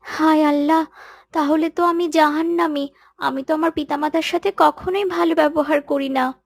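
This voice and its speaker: Woman voicing a sulky high-pitched little cartoon girl. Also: fairly even intonation, normal speed, vocal fry. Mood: remorseful. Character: sulky poor little schoolgirl, stubborn and tearful. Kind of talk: children's moral cartoon voice acting.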